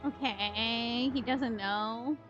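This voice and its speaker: A woman with a fairly high voice streams a game. She talks casually, reacting and commenting as she plays. Game music is clearly audible behind her. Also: drawn-out words, fairly bright tone, lo-fi sound.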